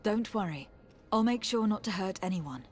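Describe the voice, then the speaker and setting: A woman's voice: medium pitch, animated, normal speed, a little mellow, close to an everyday voice. An actress playing a poised, courteous young noblewoman diplomat in a video game, speaking formally and reassuringly. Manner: polite, composed, formal. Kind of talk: scripted video game dialogue.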